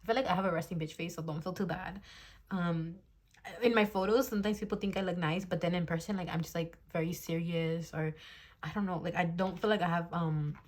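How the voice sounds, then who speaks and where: Blunt, chatty young woman with a lowish voice. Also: steady tone, fairly quick. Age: 20s. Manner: chatty, blunt, swears freely, laughs often. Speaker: tarot reader. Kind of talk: casual tarot reading to camera. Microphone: phone.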